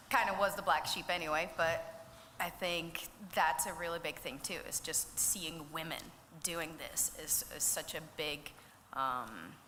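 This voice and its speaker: A woman's voice: sits low, sharp tone. A woman from Belgium, a fighter, answering frankly at a press conference. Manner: frank, conversational, self-correcting. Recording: roomy hall, faint noise.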